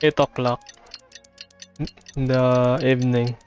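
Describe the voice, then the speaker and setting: A man's voice: mid-range voice, level tone, slow, a little mellow, faint music behind. A man speaking in laid-back, muttered asides while he plays a game. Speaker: game streamer. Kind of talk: casual aside while playing.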